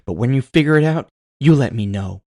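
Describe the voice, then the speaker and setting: A fierce, caring tough woman played by a male narrator in a cyberpunk audio story. The voice has a gravelly grain and speaks bluntly, challenging and swearing. It sounds close and dry. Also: mid-range voice, animated, fairly quick, darkish tone, dry recording, pitched above the performer's own voice. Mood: angry.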